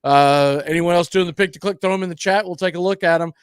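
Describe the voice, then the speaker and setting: Male sports stream host, slightly high voice, casual pregame commentary, dry sound. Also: fast talker, clean tone, no room sound. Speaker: radio show host and play-by-play announcer.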